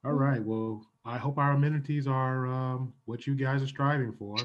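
A man playing an awkward, evasive asylum director with halting delivery in an improvised comedy scene. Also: medium pitch, fairly even intonation, muffled recording, close to an everyday voice. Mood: awkward, cheery.

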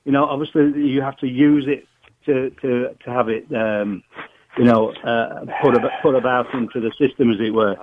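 A man with a Yorkshire accent calling a radio show, speaking in a measured, hesitant way with many fillers. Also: medium pitch, fairly even intonation. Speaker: radio caller. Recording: tinny phone line.

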